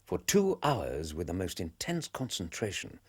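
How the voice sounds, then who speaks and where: An actor playing a loyal, reflective British gentleman companion who narrates a radio drama in a measured, wistful manner. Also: deep voice, huge pitch swings, husky, no room sound, clean studio sound, exaggerated voice. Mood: grave narration.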